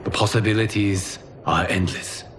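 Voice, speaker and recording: An actor voicing a noble warrior god who keeps time in a video game, speaking in a grave, measured, earnest way. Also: male voice, on the low side, fairly even intonation, a bit bright, slight background noise, natural voice. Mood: grave, explaining. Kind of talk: cinematic video game acting. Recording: music behind the voice.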